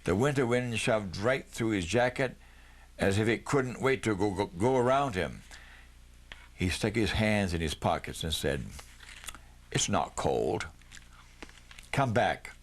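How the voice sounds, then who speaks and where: Male storybook reader, lower-pitched voice, narrating prose with occasional small stumbles. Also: expressive, normal speed. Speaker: audiobook reader.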